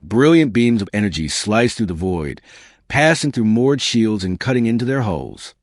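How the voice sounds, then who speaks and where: A man's voice: lowish voice, lively intonation, normal speed, no echo. Man narrating sci-fi, dry close sound. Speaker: audiobook narrator. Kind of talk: scripted narration of a sci-fi story.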